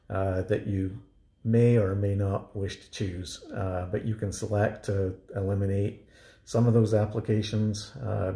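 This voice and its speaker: A man narrating an informal how-to tutorial, explaining things in halting, hesitant phrases. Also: low-pitched, gravelly, warm tone. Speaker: DIY home tech presenter.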